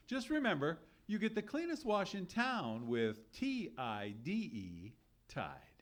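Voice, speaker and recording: A man's voice: high-pitched, wildly swooping pitch, slow. A man announcing an old-time radio show from a script, theatrical and emphatic. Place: live theatre stage.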